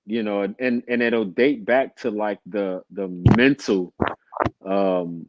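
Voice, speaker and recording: A man's voice: on the low side, steady tone, slow pace, no room sound. A man chatting casually as a livestream guest, folksy and colloquial, repeating phrases as he goes, on slightly lo-fi audio. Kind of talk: casual chat.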